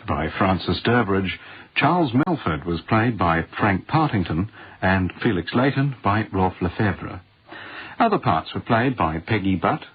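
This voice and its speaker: A British man with a husky voice making a formal, scripted announcement as a radio announcer. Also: on the low side. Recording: tinny old radio broadcast.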